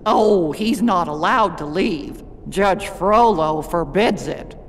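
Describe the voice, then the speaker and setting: A man's voice: high voice, slow pace, a bit bright, exaggerated voice. A man playing a feisty, fond, bossy stone gargoyle in a video game, wry and emphatic. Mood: fond concern. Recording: long reverb, game music behind.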